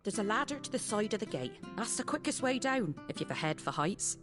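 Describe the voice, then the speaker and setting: An actress playing a plain-spoken, warm-hearted village landlady with a British accent in a fantasy video game, colloquial and matter-of-fact. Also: mid-range voice, big pitch swings, brisk, music in the background, close to an everyday voice. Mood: practical, hopeful.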